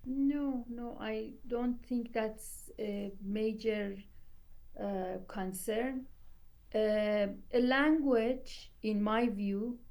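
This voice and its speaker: A woman scholar whose first language is Persian, speaking in a measured way and explaining her view through an extended comparison in a roundtable discussion. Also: on the high side, darkish tone. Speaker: scholar guest.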